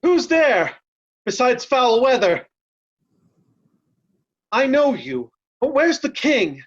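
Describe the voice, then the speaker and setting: A woman's voice: high voice, sing-song, slow pace, breathy edge, exaggerated voice. A woman playing a loyal, earnest nobleman in a Shakespeare reading, recorded in dull lo-fi sound. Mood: urgent, confiding. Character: Kent, loyal nobleman, steadfast and urgent.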